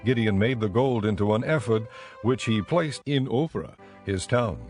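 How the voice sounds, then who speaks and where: A man narrating scripture as a scripted audiobook in a measured, solemn manner. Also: on the low side, a little mellow, faint music behind.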